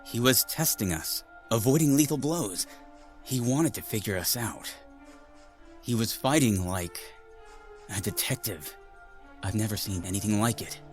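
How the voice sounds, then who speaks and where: A man's voice: fairly low pitch, expressive, measured pace, pauses often, soft background music. A man voicing a serious young ninja turtle leader who speaks earnestly and with command.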